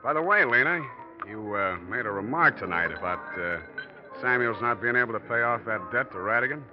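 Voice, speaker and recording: A man's voice: mid-range voice, animated, fairly quick, music in the background, close to an everyday voice. A man playing a scheming, hard-boiled American office man in 1940s radio acting style. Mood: smooth, probing. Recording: muffled, noisy old radio recording.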